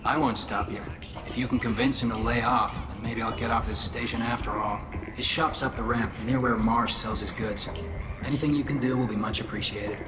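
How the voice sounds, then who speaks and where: An actor playing a polite, stranded young alien salvage trader in a video game, earnest and downcast. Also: male voice, medium pitch, fairly even intonation, fairly quick, lightly acted. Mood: grateful. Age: young adult. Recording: roomy echo under music.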